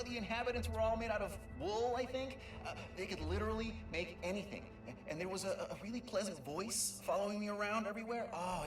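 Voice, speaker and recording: An actor playing an excitable young male Lombax mapmaker who stammers and rambles, in a video game audio log. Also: sits high. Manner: stammering, talkative, self-amused. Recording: echoey and distant, music behind.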